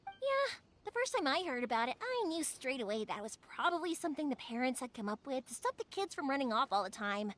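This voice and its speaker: A woman playing a cheerful, warmhearted young fireworks maker in a video game, chatty, bubbly and expressive. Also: high voice, fairly quick. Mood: easygoing, reassuring. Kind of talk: animated video game voice acting. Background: background music.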